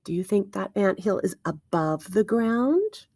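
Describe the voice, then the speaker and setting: A woman's voice: mid-range voice, animated, measured pace, darkish tone, husky. A woman reading aloud to young children like a teacher, expressively, with questions and wondering asides to her listeners.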